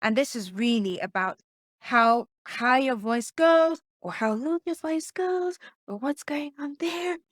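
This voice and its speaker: A soft-voiced woman sits somewhere on the higher side of the usual female range as she coaches on speaking skills. Her delivery is emphatic and stresses key words, and she keeps turning to the listener with questions. The sound is dry and close, with almost no room around it. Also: measured pace, dry recording. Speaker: communication coach. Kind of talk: teaching presentation tips to camera.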